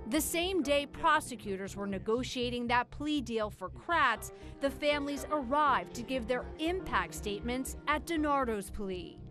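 American female news narrator, high register, scripted documentary delivery. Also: animated, unhurried, few pauses, a bit bright, soft background music.

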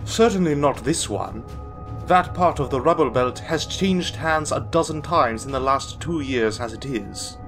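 A man performs a blunt minotaur officer in a fantasy audio drama. The commander is gruff, scornful and military, mid-pitched, with music playing low behind. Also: animated, a little slow, a bit bright. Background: background music.

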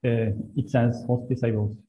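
Young male presenter, polite and halting, very mellow tone on a dull call. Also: medium pitch, flat delivery. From Turkey. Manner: hesitant, polite. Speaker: conference presenter. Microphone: wired earbuds on video call. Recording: muffled video call with faint noise.

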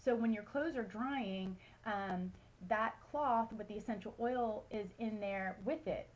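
A woman's voice: medium pitch, measured pace, low-quality recording. A woman in her thirties giving casual how-to tips to a webcam at home, chatty with many ums and likes. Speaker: home wellness vlogger. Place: small room at home, indoors. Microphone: webcam at close range.

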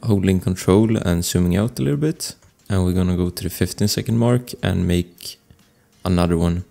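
Deep gravelly man narrating a tutorial. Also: normal speed, warm tone. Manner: informal step-by-step explaining. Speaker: tutorial presenter. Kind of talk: casual software tutorial narration. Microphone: close desktop microphone voice-over. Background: music.

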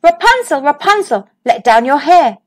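A woman's voice: high-pitched, big pitch swings, moderate pace. A woman voicing a young prince in a storybook reading, speaking in earnest, pleading calls.

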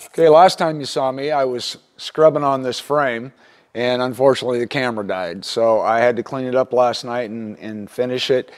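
A man in his fifties giving a casual, plainspoken, step-by-step repair tutorial at an unhurried, instructional gait. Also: medium pitch, steady tone. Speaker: instructor demonstrating lantern repair. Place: indoor wooden workshop at a workbench.

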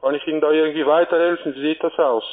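Male phone sales agent, formal and explanatory, slightly raised pitch, narrow telephone sound. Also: steady tone, very fast. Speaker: call centre sales agent.

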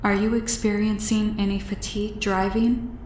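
Woman voicing a slow, gentle male alien. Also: mid-range voice, flat delivery, roomy sound, slight background noise, close to an everyday voice. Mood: earnest, questioning. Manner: slow, measured, formal phrasing. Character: gentle, curious, wistful male alien visitor.

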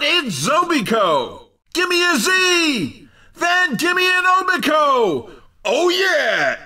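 Exuberant video game racing commentator, measured pace but swooping, animated intonation, theatrical and cheeky. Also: male voice, very high voice, far from the mic, heavily acted. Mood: cheering hype. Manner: hyped, theatrical, punning delivery. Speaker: race commentator.

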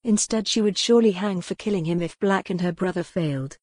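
A woman reads dramatic, scripted narration for a historical romance audiobook. Her voice sits a little low, and the recording is close and dry. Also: fairly quick, darkish tone, no room sound.

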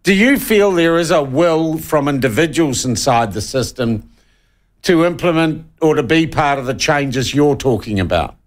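A man's voice: fairly high pitch, lively intonation, normal speed. A man hosting a talk radio interview in a studio, blunt and opinionated in the way he talks. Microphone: studio broadcast mic.

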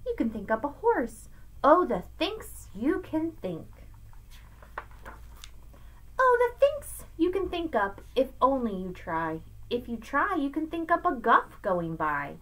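A middle-aged woman teacher giving an expressive, emphatic read-aloud lesson for children, recorded on a webcam in a room at home. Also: sits high, measured pace, rough recording. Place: small room at home with bookshelves, indoors. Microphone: webcam at arm's length.

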